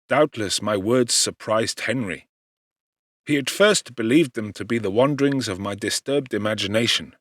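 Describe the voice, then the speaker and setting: A male narrator reads literary fiction in an expressive, articulate manner. His pitch moves around a great deal while the delivery stays measured, and the recording is dry, with no room sound. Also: no echo. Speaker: audiobook narrator, actor. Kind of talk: dramatic literary narration of a gothic novel.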